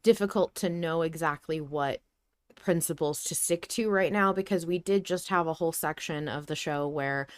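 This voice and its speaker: A woman with a fairly low voice talks casually as a podcast co-host. She works through her opinions out loud. The sound is close and dry, with no room to it. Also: normal speed, clear, resonant tone, no room sound. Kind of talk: casual discussion of a story podcast.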